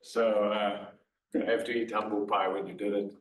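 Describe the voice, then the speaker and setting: Lower-voiced audience man, loud, wry, heard from far off in an echoey hall. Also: little pitch movement, normal speed, a little hiss or hum. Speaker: audience member asking. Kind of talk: question from the audience. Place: lecture hall. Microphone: far from the mic.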